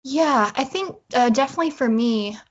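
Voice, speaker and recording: A woman's voice: high-pitched, expressive, moderate pace, husky, highs cut off. A woman speaking as a guest writer in a casual interview, thinking aloud in an informal way.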